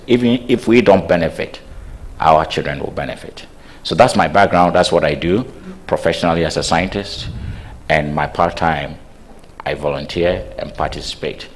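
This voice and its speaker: A man's voice: a bass voice, slight background noise. A man speaking earnestly as a panelist and scientist, advocating for inclusive community change. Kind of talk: panel discussion.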